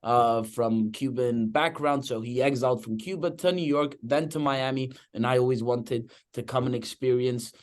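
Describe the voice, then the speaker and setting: A man's voice: medium pitch, moderate pace, hardly any pauses. Man, Spanish accent, young adult, enthusiastic webinar host, video call audio. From Spain (Madrid). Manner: enthusiastic, repeats "okay?" often. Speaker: real estate agent hosting webinar. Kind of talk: webinar presentation.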